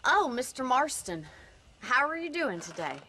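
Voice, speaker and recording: An actress with an American accent playing a plucky, sharp-tongued young ranch woman in a Western video game, forthright and teasing. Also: sits high, sing-song, moderate pace, slight background noise, full character voice. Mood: warm, friendly. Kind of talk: video game cutscene acting.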